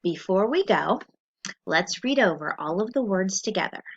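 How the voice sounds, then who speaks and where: A woman teacher reading a lesson aloud to children, slow and clear, pausing so they can answer. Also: mid-range voice, lively intonation, dull audio.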